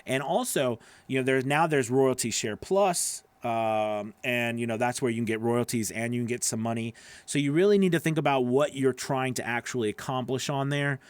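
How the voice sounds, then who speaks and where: A man's voice: medium pitch, normal speed, no room sound. A man in his forties giving casual livestream advice in an emphatic, encouraging way, repeating phrases for stress, close on a studio microphone. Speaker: host, voice-over coach. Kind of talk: casual livestream advice, answering viewer questions. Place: small home studio, indoors. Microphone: close studio microphone on boom arm.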